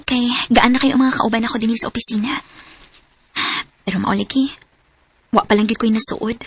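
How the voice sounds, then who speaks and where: An actress playing a young woman who has just started office work, in a radio drama, speaking earnestly and softly. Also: on the high side, husky. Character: young woman Trisha, office worker, hurt by her mother.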